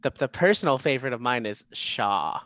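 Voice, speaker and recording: A man who acts, chatting casually in a halting, hesitant way. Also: medium pitch, expressive, a bit bright, dry recording. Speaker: stage actor. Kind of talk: casual chat.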